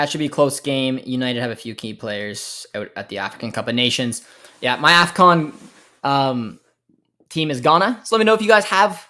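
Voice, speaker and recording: Young american guy doing a live footy watchalong, kinda high voice, rambly and relaxed, lots of ums. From United States. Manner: chatty, relaxed, many fillers and restarts, reacts to the play. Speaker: host of a football watchalong. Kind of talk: casual live match commentary and chat reading.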